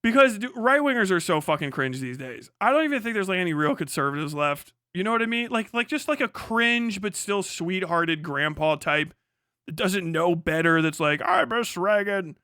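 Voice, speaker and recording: Bright, high-pitched sarcastic man ranting. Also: lively intonation, normal speed, clear, resonant tone, no echo, clean studio sound. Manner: profane, sarcastic, mocking. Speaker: live streamer. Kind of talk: casual livestream rant and commentary.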